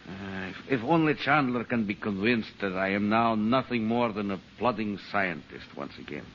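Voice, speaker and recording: An actor in an old-time radio drama playing a cunning, megalomaniac scientist villain who speaks in a theatrical, halting way. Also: male voice, fairly low pitch, animated, a bit bright. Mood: falsely meek, sly. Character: scheming megalomaniac male scientist villain, falsely meek, cunning. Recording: dull, noisy old radio recording.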